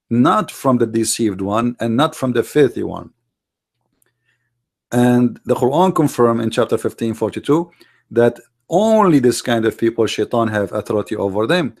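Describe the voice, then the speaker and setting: A man who grew up speaking Arabic argues in halting, non-native English full of fillers. His mid-pitched voice has a gravelly, fry-laden edge. He talks as a podcast guest making a case. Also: a little mellow. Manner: argumentative, non-native grammar, many fillers. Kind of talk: podcast interview.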